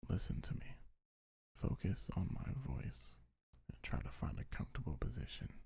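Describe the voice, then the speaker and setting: Deep, breathy, half-whispering ASMR man. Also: big pitch swings, no echo. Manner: gentle, soothing, unhurried. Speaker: ASMR creator. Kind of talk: ASMR comfort affirmations. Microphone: close microphone, dry.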